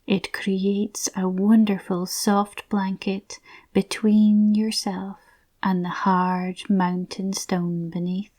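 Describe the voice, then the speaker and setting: A woman softly narrating a scripted guided visualisation in a soothing, breathy, near-whispered voice. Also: medium pitch, flat delivery, takes it slowly.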